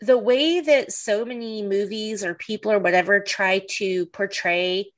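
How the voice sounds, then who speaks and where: A woman's voice: medium pitch, steady tone, measured pace. Chatty middle-aged woman podcast host. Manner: chatty, many restarts and "you know". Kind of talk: casual chat.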